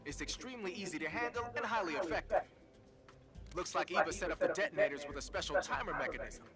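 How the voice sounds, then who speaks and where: An actor playing a brisk, knowledgeable military support officer in a video game, explaining and instructing with emphasis. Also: male voice, fairly high pitch, close to an everyday voice. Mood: instructive. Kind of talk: video game radio briefing. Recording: loud music under the voice.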